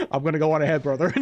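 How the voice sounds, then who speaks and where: A man hosting a casual let's-play, chatting and rambling with a co-host while he plays, laughing often. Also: a little high. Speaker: gameplay host.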